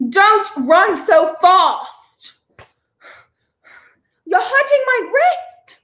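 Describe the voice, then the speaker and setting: A woman's voice: extremely high pitch, fairly bright tone, heavily acted. A young woman playing a stubborn, indignant, plaintive heroine in a 1930s radio play. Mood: pleading. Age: young adult.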